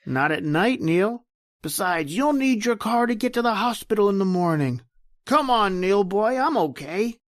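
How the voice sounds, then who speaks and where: A man's voice: sits high, animated, moderate pace, no room sound, studio quality. A man playing a breezy, evasive young salesman in an old-time radio drama, wheedling in slangy talk. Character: slippery, wheedling young male salesman pal.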